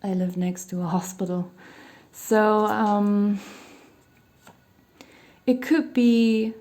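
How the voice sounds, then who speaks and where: A woman giving a relaxed tarot reading in a mellow, even tone, her voice a little hoarse from a cold. She wanders through her thoughts with plenty of fillers and soft hedges. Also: mid-range voice, extremely slow. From Germany. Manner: meandering, hedging, many "you know" fillers. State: losing her voice, slightly hoarse. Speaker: tarot reader. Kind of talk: casual tarot reading monologue. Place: home near a hospital, sirens outside.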